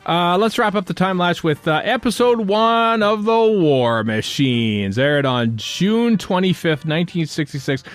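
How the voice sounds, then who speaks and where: A man's voice: sits high, lively intonation, a little slow, few pauses, clear, resonant tone, dry recording. A middle-aged man hosting a fan podcast in casual, chatty, rambling talk. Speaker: podcast host.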